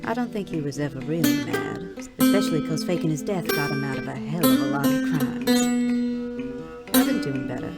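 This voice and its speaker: Loud acoustic guitar plays with a low voice, an earnest male ex-sheriff acted by a woman. He narrates a letter in a Western audio drama, plainspoken and confessional. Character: earnest, folksy male former sheriff turned outlaw.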